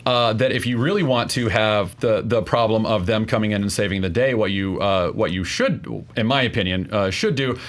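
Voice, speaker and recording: A man debating pop culture informally as a contestant, earnest and halting, often acting out imagined reactions. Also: on the low side. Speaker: debate contestant on a movie debate show.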